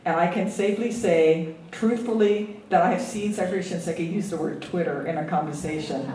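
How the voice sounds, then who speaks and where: A woman with a slight Hawaiian accent speaking on a panel as a federal agency official, earnest and halting, with many ums and restarts. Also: lowish voice, dark tone, reverberant, far from the mic, a little hiss or hum, poor audio. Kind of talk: panel remarks. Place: event hall at a symposium.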